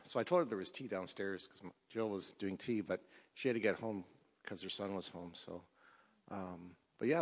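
A man with a low voice telling a chatty anecdote to a congregation, loud but halting with fillers. A long reverb from the church hall hangs behind his words. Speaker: congregation member. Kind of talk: casual anecdote.

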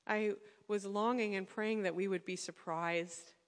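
A woman leading a sharing time in a church, speaking hesitantly and thoughtfully with many pauses. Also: medium pitch, steady tone. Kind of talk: casual congregational talk. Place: church hall. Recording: echoey church hall.

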